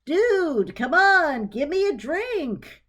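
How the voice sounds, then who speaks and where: A woman's voice: sits high, very animated, very slow, fairly bright tone, pitched above the performer's own voice, exaggerated voice. A woman voicing a thirsty talking aloe plant that pleads in a slangy, demanding way. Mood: pleading, pushy.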